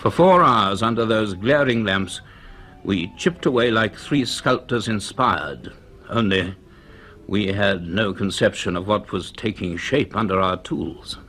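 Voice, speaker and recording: An actor playing an earnest, commanding British university professor in a radio drama, speaking in a measured, deliberate, authoritative way. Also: male voice, fairly low pitch, animated, a bit bright, soft background music. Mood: grave, intrigued. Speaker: actor playing the lead and narrator. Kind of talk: radio drama dialogue and first-person narration.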